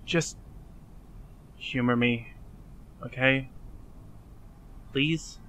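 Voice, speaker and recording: A man's voice: mid-range voice, steady tone, fairly bright tone. A man playing an awkward, apologetic young man who stammers and evades, in fan dub drama dialogue. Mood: uneasy, pleading. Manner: halting, stammering, evasive.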